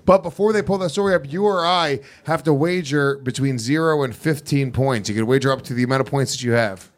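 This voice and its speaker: A man's voice: mid-range voice, a little fast. A man hosting a comedy game show, explaining the rules and laughing often.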